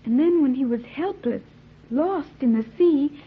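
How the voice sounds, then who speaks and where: A woman's voice: high voice, animated, a little slow. A woman playing a naive, earnest island-raised girl in an old-time radio serial, speaking in precise, stilted, formal sentences. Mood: grave, explaining. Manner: stilted, precise, formal diction without slang. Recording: tinny, band-limited old radio recording.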